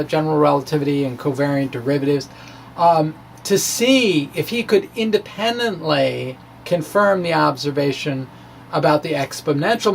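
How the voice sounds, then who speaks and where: A man's voice: a little high, vocal fry, slight background noise. A man informally presenting his own science blog, wry and playful, often hesitating mid-phrase. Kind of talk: dramatic reading of a physics blog, informal explaining.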